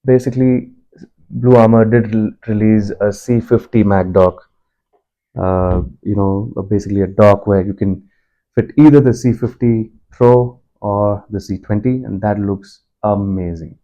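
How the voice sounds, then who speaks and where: Male reviewer, low-pitched with a very soft, relaxed tone, conversational and explanatory. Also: unhurried, highs cut off. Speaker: product reviewer and motorbike commuter. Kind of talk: casual spoken product review.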